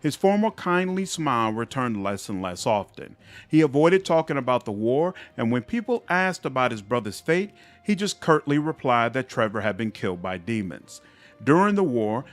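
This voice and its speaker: A man narrating fantasy game epilogues in a measured, expressive storybook style. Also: mid-range voice, lightly acted. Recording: music under the voice.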